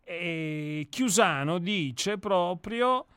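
Man, fairly high voice, literary reading, theatrical deliberate delivery, smooth tone, dry recording. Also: expressive, fairly bright tone, dry recording. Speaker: co-host of a literary radio discussion. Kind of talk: expressive reading and literary commentary.

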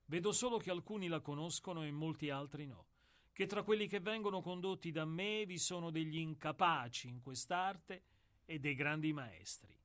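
An actor in a radio play playing an old, world-weary executioner who speaks in a deliberate, declamatory way with weighted pauses. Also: male voice, a little high, a bit bright, natural voice. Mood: brooding, humble. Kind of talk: dramatic radio play monologue.

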